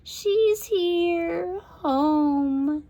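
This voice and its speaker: A woman voicing a vicious, possessive female monster in a spooky storybook, with a raspy, drawn-out, menacing delivery. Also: very high voice, warm tone, pitched above the performer's own voice, full character voice.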